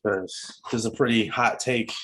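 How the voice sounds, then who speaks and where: A man's voice: on the low side, fairly even intonation, normal speed, fairly bright tone, slight background noise, poor audio. A man co-hosting a podcast, chatting casually in a slangy, joking, playfully nitpicky way. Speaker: podcast co-host. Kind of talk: casual banter reviewing a movie.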